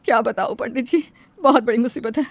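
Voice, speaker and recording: A woman's voice: on the high side, fairly quick, dry recording. A woman playing an anxious but brave village aunt in a horror cartoon, urgent and pleading. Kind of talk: Hindi horror cartoon voice acting.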